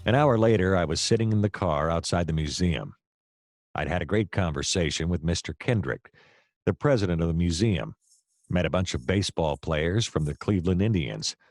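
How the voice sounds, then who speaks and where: An actor with an American accent playing a hapless, wry male private detective in a noir audio play, deadpan and sometimes flustered. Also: sits low, no echo, heavily acted. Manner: wry, deadpan narration, hesitant and flustered in dialogue.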